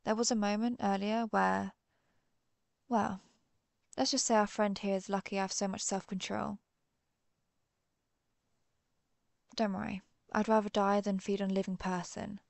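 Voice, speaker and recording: A woman playing a protective vampire who hunts her own kind, speaking in a measured, confiding way in an intimate audio roleplay. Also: mid-range voice, level tone, vocal fry, no room sound, natural voice. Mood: hungry, self-reproachful. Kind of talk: intimate scripted audio roleplay addressed to the listener.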